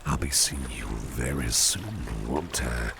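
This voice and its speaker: A man voicing a suave, attentive gentleman boss in a gravelly, half-whispered ASMR roleplay, speaking in a reassuring, coaxing way. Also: extremely low pitch, lively intonation, slow pace, over music, exaggerated voice. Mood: courteous, coaxing.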